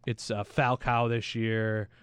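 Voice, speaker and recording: A man's voice: lowish voice, flat delivery, slow pace, smooth, no room sound. A man chatting casually about sports as a pundit, in a slangy, anecdotal way. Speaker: soccer pundit on a talk show.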